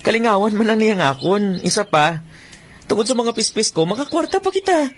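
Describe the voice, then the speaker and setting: Animated, eager young bird catcher. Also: male voice, high-pitched, a little fast. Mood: pleading. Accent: Filipino, Western Visayan. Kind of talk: Philippine radio drama acting.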